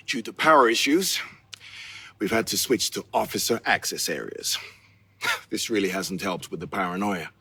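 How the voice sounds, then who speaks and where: A worn-down, grim crew officer in a sci-fi game, dictating a log. His low voice is husky but hard-edged, and he speaks haltingly, as if duty weighs on him. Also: fairly bright tone, no room sound, exaggerated voice. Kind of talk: acted video game audio log.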